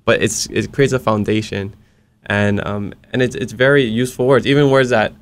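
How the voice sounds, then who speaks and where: A man hosts a relaxed interview, his voice sitting low and coming across smooth and resonant. Hawaiian is his first language, and he speaks earnestly with encouraging asides. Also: normal speed. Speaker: podcast host. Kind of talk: casual interview chat.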